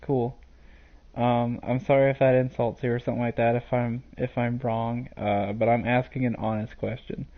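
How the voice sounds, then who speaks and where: A man's voice: medium pitch, flat delivery, moderate pace. A man talks through his gameplay live, drifting from point to point with lots of ums and uhs. His voice sits in an ordinary middle range. The mic sounds dull and lo-fi. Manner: rambling and hesitant, many fillers. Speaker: game streamer.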